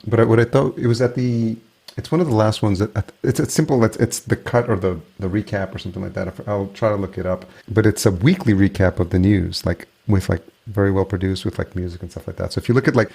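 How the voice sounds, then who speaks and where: A man's voice: lowish voice, fairly even intonation, fast, creaky, dark tone, breathy edge. A man hosting a podcast interview, chatty and enthusiastic, laughing easily as he geeks out about the medium. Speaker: podcast host.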